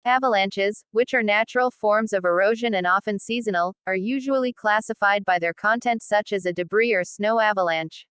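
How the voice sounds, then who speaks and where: Clear, smooth woman reading passages aloud. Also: mid-range voice, normal speed, few pauses, fairly bright tone, no echo, studio quality.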